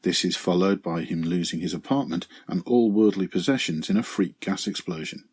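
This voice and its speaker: A man's voice: sits low, fairly quick, darkish tone, husky. A middle-aged man delivering a scripted review segment on a podcast in an earnest, reflective way.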